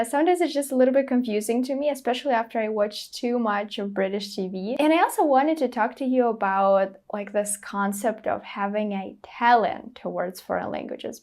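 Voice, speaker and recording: A woman from Russia, hosting an interview and chatting casually with "like" as a filler. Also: a little high. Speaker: interviewer, podcast host.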